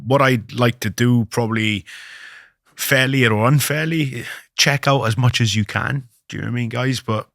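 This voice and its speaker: A chatty British man with a low voice hosts a podcast interview and keeps calling his guest "mate". He talks over a video link, and the sound is dry and close. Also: a bit bright, no room sound. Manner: chatty, says "mate" often, repeats words. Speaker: podcast host. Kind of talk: casual interview chat. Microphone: video call.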